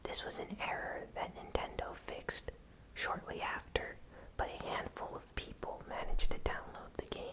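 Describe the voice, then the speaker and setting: A host whispering a gaming news recap in ASMR style, with soft, unhurried, deliberate phrasing.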